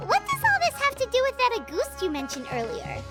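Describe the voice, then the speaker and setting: A woman voicing a small, excitable fairy companion in a video game who talks about herself in the third person. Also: very high voice, brisk, full character voice. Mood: curious. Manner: refers to herself in the third person, exclaims often. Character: tiny floating fairy companion, excitable and chatty. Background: soft game music.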